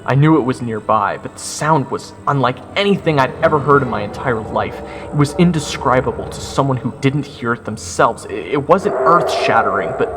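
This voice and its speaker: A man narrating a first-person fantasy story in a theatrical way, with dramatic pauses. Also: medium pitch. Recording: loud music under the narration.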